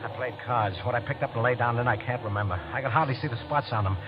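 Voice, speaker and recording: Man acting a fast-talking, haunted radio thriller fugitive. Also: mid-range voice, lightly acted. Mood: haunted, brooding. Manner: tense, hurried, stammering when questioned. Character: guilty, panicky man on the run after a killing. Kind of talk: 1940s-50s radio acting, first-person thriller narration. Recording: dull old radio broadcast with music.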